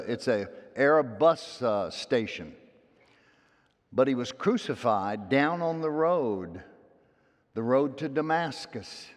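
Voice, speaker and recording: A pastor preaching a sermon in a folksy, storytelling way, his loud voice dropping to hushed asides and then rising to emphatic peaks. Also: mid-range voice. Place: church sanctuary. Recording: long hall reverb.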